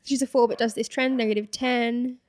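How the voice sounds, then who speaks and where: A woman's voice: a little high, steady tone, fairly quick. A young woman co-hosting a podcast, casually reading hate comments aloud and laughing often. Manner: giggly, reads comments aloud with mock voices. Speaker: podcast co-host.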